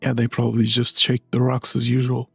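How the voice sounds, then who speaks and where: A man's voice: medium pitch, monotone, a little fast, creaky, a bit bright, breathy edge, no room sound, faint music behind. A man giving casual, laid-back and dry reaction commentary as he plays a game. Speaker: gaming YouTuber.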